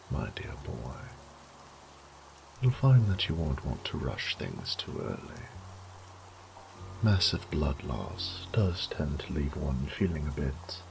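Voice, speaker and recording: A man's voice: extremely low pitch, creaky, noisy background, full character voice. A man playing an ancient, bored vampire lord in a horror audio drama, theatrical and mock-tender, with menacing condescension and drops into whispers.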